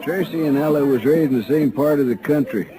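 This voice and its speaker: A man's voice: on the high side, brisk, close to an everyday voice, low-quality recording. An actor playing a blustery, exasperated Wild West showman with a folksy Western American drawl in a radio western. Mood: worried. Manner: folksy, colorful, ungrammatical. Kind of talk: 1950s radio western acting. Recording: old radio recording, crowd murmur behind.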